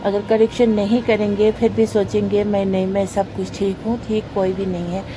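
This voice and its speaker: A woman's voice: mid-range voice, flat delivery, moderate pace, noisy background. A woman vlogger, giving an earnest, preachy monologue in a carpeted room at home. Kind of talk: moralizing monologue to camera. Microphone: phone camera pointed at the floor.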